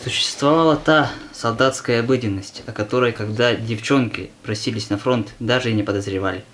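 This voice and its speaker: A man's voice: mid-range voice, fairly quick, a bit bright, slight background noise, natural voice. A man narrating a war audio play from a literary script. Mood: reflective. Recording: music under the voice.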